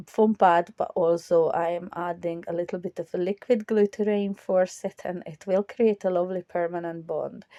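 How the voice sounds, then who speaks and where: A woman talks through her craft project in a chatty, enthusiastic way, her mellow voice now and then strained as it recovers. She is recorded close and dry, with no room sound around her. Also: lowish voice, no echo. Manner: chatty, enthusiastic, step-by-step explaining. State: voice recovering from loss, occasionally strained. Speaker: craft tutorial host. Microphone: close voiceover mic.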